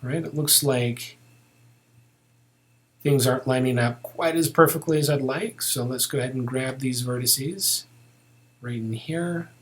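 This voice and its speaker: Guy doing a screen recording tutorial, mid pitched voice, informal, kinda thinking out loud step by step. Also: measured pace, pauses often. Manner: informal, thinking aloud while working. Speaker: software tutorial instructor.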